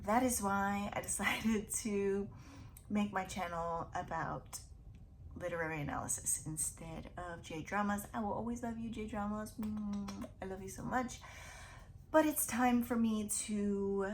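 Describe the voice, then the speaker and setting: A woman's voice: mid-range voice, fairly even intonation, measured pace, slight background noise. A woman in her thirties hosting a casual book vlog, chatting in a rambling, self-deprecating way. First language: English. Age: 30s. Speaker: YouTube book channel host. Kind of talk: casual to-camera vlog chat.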